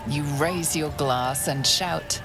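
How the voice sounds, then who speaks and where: A woman playing a solemn fantasy game narrator, reading scripted lines in a measured, portentous way. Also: sits low, music in the background. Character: solemn, ominous female fantasy storyteller.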